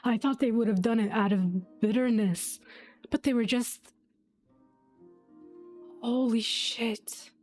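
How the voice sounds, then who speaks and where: A woman's voice: on the high side, slow, pauses often, darkish tone. A woman giving casual, emotionally invested reaction commentary on a game, thinking aloud and swearing freely. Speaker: game streamer. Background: faint game music.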